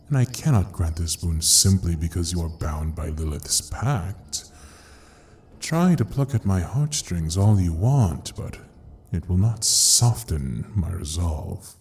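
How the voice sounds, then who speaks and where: A man's voice: sits low, mellow, exaggerated voice. A man playing the ancient, clawed lord of Hell in a fantasy audio roleplay, mocking and condescending, savoring his words theatrically. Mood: gloating.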